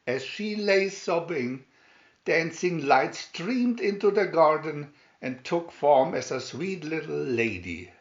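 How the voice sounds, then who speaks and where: A man's voice: fairly high pitch, expressive, slow pace, muffled recording. A man narrating a fairy tale aloud from a storybook in a dramatic style.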